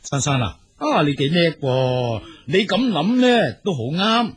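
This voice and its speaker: Man playing raspy, kindly old grandfather. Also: on the high side, sing-song, heavily acted. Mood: reassuring. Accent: Hong Kong Cantonese. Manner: halting, drawn-out, soothing, with filler particles. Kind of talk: Cantonese radio drama acting.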